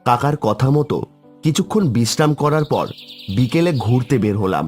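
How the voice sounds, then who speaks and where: A man's voice: medium pitch, animated, normal speed, a little mellow, soft background music. A man narrating a scripted first-person horror story for a cartoon.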